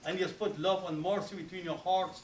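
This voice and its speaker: A man from Guyana with a Guyanese accent, officiating a wedding and giving a solemn, formal sermon with rapid Arabic recitation. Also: high-pitched, steady tone, roomy sound, far from the mic, slight background noise, poor audio.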